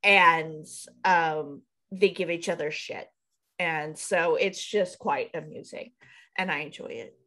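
A woman's voice: deep voice, slow, bright, forceful tone. A woman from Nebraska with a Nebraskan accent, co-hosting a podcast in casual, rambling chat over a webcam from a room at home. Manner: rambling, self-deprecating, laughs at herself. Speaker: podcast co-host. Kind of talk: casual chat on a video call. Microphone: video call webcam.

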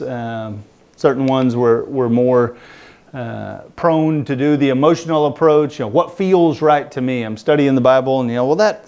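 A man's voice: medium pitch, a little mellow. A man in his thirties preaching a sermon, talking casually with frequent restarts and fillers. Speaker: pastor. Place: church hall with a projection screen.

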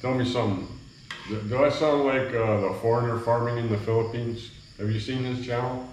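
A man's voice: mid-range voice, steady tone, a little fast, darkish tone. Easygoing man in an echoey kitchen. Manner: easygoing, rambling, talks to viewers while cooking. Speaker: vlogger. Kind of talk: casual cooking vlog chat. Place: small bare cement-walled kitchen. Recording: echoey room, faint background noise. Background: crickets at night.

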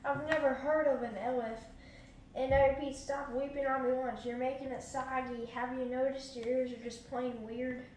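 A woman's voice: sits high, level tone, moderate pace, a little mellow, very echoey, recorded from a distance, a little hiss or hum, natural voice, low-quality recording. Woman doing a rude bully hippo. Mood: bossy.

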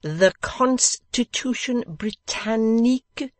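A reader voicing a pompous, condescending English gentleman host who talks slowly, loudly and overenunciates as if to a deaf child. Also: high voice, sing-song, no room sound, pitched above the performer's own voice, exaggerated voice.

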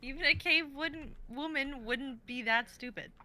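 A woman playing a dry, dismissive stoned character in an amateur script reading, blunt and deadpan. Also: sits high, measured pace, heavily acted. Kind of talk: amateur dramatic script reading over video call.

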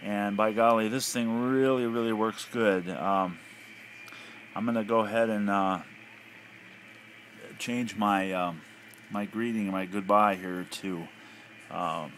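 American male hobbyist, lowish voice, casual hesitant walk-through, light background noise. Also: fairly even intonation, takes it slowly, long pauses, fairly bright tone. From United States. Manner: hesitant, thinking aloud. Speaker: amateur radio hobbyist. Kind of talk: casual off-the-cuff demonstration commentary. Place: indoors at a home radio desk. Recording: noisy handheld home recording.

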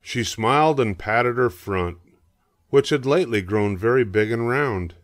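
An older man narrating a children's storybook, reading aloud in a measured, expressive way. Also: mid-range voice, no room sound, clean studio sound.

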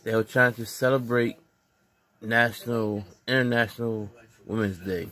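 A man's voice: medium pitch, steady tone, normal speed, a bit bright. A man vlogging casually on a phone in a dim living room, earnest and preachy, repeating his points. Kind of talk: casual opinion vlog.

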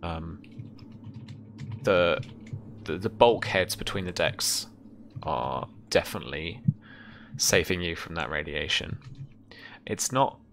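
A young man improvising tabletop game narration as game master, halting and thinking aloud. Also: low-pitched, expressive, vocal fry, fairly bright tone, a little hiss or hum. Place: small room at home, indoors.

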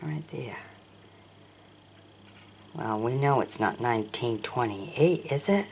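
A low-pitched older woman with a gravelly edge talks slowly and fondly about old toys. Her voice rises and falls a great deal as she admires each one. A faint hiss sits behind her. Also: expressive, a little mellow. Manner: chatty, admiring, asks the viewer questions. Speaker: toy collector showing auction finds. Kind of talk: casual show-and-tell narration. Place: indoors at a sunny windowsill.

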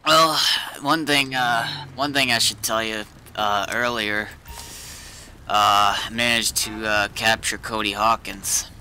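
A man talking casually and haltingly with fellow players in an online roleplay game. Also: fairly low pitch, fairly even intonation, bright, forceful tone, a little hiss or hum. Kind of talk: casual gaming chat with in-character roleplay.

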